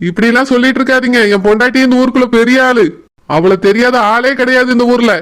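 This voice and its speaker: A man acts out a comic cartoon husband. His voice sits unusually high for a man. He carries himself like a local celebrity, boastful and easily fooled, ready to plead when challenged. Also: steady tone, quick pace, a bit bright. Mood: smug, boastful. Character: boastful, gullible husband basking in his wife's local fame.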